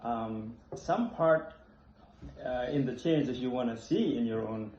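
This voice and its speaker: A young man from Indonesia, hosting a casual conversation in an apartment, talking haltingly and repeating words as he looks for the phrasing. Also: darkish tone. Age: young adult. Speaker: host. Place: apartment room. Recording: echoey, dull low-fi room recording.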